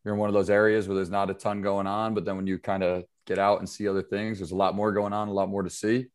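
Easygoing low-voiced man. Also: quick pace. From upstate New York, USA. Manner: relaxed, loose, filler-heavy talk. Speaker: basketball trainer, guest. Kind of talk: casual interview chat.

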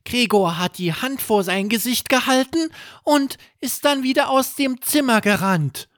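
A man voicing a tiny, timid, excitable young plush animal in a children's bedtime story. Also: very high-pitched, unhurried, no echo, clean studio sound, voice pushed up, full character voice. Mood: excited.

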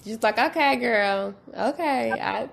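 A woman's voice: fairly high pitch, slow, vocal fry, fairly bright tone. A woman in her twenties hosting a podcast, talking casually in chatty, slangy speech. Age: 20s. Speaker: podcast host.

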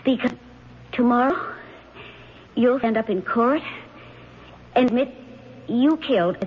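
An actress playing an insistent woman who coaxes and gives orders deliberately, in a 1970s radio drama. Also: a little high, lively intonation, breathy edge, exaggerated voice. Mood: insistent, secretive. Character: insistent woman secretly coaching an accused man. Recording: dull, noisy old radio recording.

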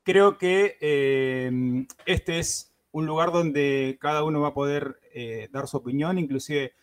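A man's voice: on the high side, slow. A man hosting a gaming podcast, talking casually with lots of fillers and restarts. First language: Spanish. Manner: hesitant, many fillers and restarts. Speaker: podcast host.

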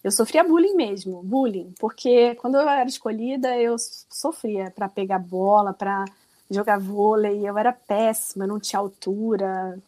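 A woman's voice: medium pitch, moderate pace, warm tone. A woman in her forties from Rio de Janeiro with a Carioca accent, a guest telling her story in a casual, chatty, self-deprecating way. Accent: Carioca Brazilian Portuguese. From Rio de Janeiro, Brazil. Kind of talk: casual interview chat.

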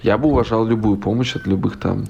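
A man's voice: fairly low pitch, fast, vocal fry, a little mellow, husky, muffled recording. A man of about thirty from Russia, a podcast guest rambling in casual chat, full of fillers, restarts and blunt profanity.

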